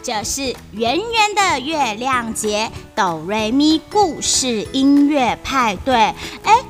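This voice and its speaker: A woman with a Taiwanese Mandarin accent, co-hosting a children's story show with childlike, unhurried chanting. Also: high-pitched, very animated. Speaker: co-host storyteller. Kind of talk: playful children's show chat. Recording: music playing underneath.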